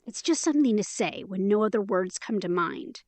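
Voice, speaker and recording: An actress playing a caring, candid young woman in an intimate audio drama, speaking in a casual, gently encouraging way. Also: mid-range voice, animated, moderate pace, dry recording, lightly acted. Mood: sincere.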